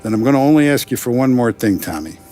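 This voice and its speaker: An actor playing a paternal, authoritative Italian-American mafia boss in a 1930s crime game, speaking deliberately. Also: male voice, mid-range voice, lively intonation, mellow, close to an everyday voice. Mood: stern, instructive. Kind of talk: cinematic video game acting. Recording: music behind the voice.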